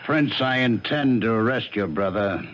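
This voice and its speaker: An actor playing a plain-spoken, stubborn police detective with a commanding manner in a 1970s radio drama. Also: male voice, on the low side, normal speed, lightly acted. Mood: firm. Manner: blunt, commanding, colloquial. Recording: muffled, faintly noisy old radio recording.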